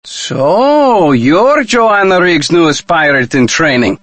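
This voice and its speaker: An actor playing a proud, indignant Mexican diplomat in a video game, with a Mexican Spanish accent and formal, precise, theatrical diction. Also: male voice, a little high, sing-song, slow pace, fairly bright tone, no room sound, heavily acted. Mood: indignant, righteous. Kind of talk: video game character dialogue.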